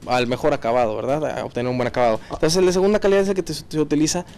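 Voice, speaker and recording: A man with a Mexican accent, a paint technician explaining his work informally and a bit haltingly. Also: on the high side, steady tone, a little mellow. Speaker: auto body paint technician.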